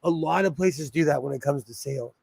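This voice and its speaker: A man hosting a casual sewing livestream, chatty and apologetic, laughing often, his voice a little hoarse. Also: a little high, a little fast, darkish tone, no echo. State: slightly hoarse, losing his voice.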